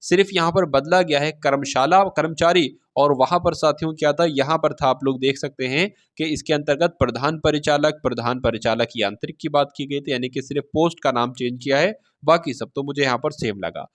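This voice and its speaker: A man's voice: fairly high pitch, brisk, hardly any pauses. A man reading out and explaining official notices for students in a didactic way, paraphrasing as he goes and checking in with listeners. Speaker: exam-prep instructor and channel host.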